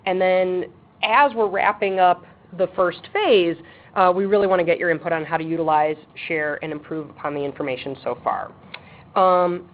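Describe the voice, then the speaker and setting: A woman chairing a webinar for an advisory board, talking briskly and practically with frequent ums. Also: lowish voice. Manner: says she has a loud voice. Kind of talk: webinar presentation. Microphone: speakerphone on a table. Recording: tinny phone line with faint background noise.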